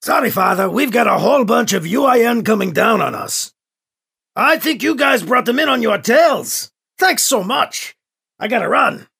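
A man's voice: extremely high pitch, lively intonation, normal speed, vocal fry, sharp tone, breathy edge, voice pushed up, heavily acted. A man voicing a brusque, blustery male military commander in an audiobook, with a barking, mocking delivery. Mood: hurried. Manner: barking, clipped. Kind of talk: audiobook character acting.

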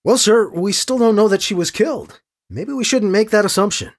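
A man's voice: sits high, normal speed, voice pushed up. A man voicing a wry church agent priest in an audio drama, polite and probing with dry sarcasm. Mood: measured, probing. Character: wry, smooth-talking male priest and church agent, deferential yet sarcastic.

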